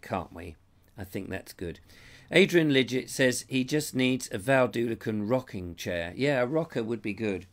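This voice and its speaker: Middle-aged English man with a cold. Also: medium pitch. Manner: rambling, chatty, reads comments aloud. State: has a cold, losing his voice. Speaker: show host. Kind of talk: live-stream chat reading viewer comments. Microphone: lapel mic.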